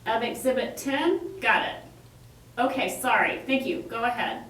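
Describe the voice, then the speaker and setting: Very echoey, distant recording of a woman acting as a brisk trial judge. The voice is fairly high, and the manner is curt and procedural, mildly impatient, as in a puppet courtroom re-enactment. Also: lively intonation, fairly bright tone. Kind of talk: courtroom re-enactment read from a transcript. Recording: echoey room, distant mic, faint noise.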